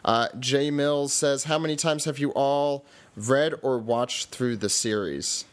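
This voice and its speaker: Mid-pitched man reading listener questions. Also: unhurried. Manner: easygoing, moderating. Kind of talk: casual chat.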